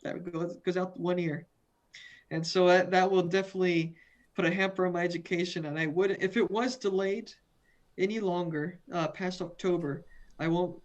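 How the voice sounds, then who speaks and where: A man's voice: high voice, fairly even intonation, moderate pace, dull audio. A young man from the western United States, a student guest in a video interview, earnest and often repeating and restarting words. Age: young adult.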